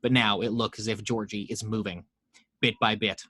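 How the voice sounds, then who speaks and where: A man's voice: fairly low pitch, level tone, normal speed, sharp tone, no echo. A man reading the action lines of a screenplay aloud at a home table read, steadily, voicing the sound effects. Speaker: narrator reading action description.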